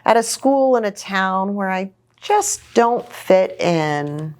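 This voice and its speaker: A woman's voice: mid-range voice, lively intonation, slow, darkish tone. Woman as shy new boy at school, storybook character, varied intonation, hopeful, earnest, grateful.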